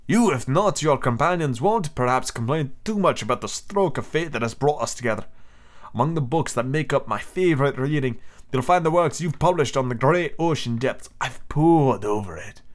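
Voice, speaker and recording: A man's voice: mid-range voice, natural voice. A man reading aloud, voicing an aloof middle-aged male ship commander who speaks formally and coldly. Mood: grand.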